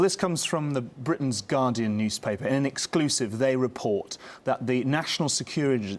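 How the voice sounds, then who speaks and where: A man reporting live as a news correspondent, speaking off the cuff in a halting way and repeating words. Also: medium pitch.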